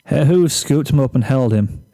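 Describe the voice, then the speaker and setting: Guy narrating a funny story, soft dark mellow tone, mid pitch. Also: animated, normal speed. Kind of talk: scripted narration of a comic story.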